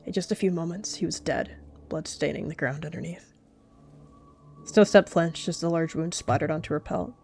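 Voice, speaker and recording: A young woman narrating a cat roleplay story in a soft, earnest storytelling manner. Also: deep voice, normal speed, gravelly, soft background music.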